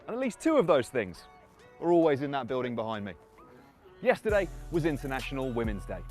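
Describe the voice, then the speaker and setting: A man delivering a scripted satirical monologue with a sardonic, animated manner. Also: mid-range voice, brisk, faint music behind. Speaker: satirical commentator.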